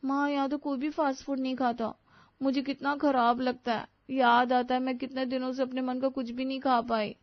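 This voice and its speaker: A woman's voice: sits high, flat delivery, normal speed, dry recording, voice pushed up. A timid young bride from a storybook, acted by a woman narrator, polite and a little wistful. The sound is very dry and slightly muffled.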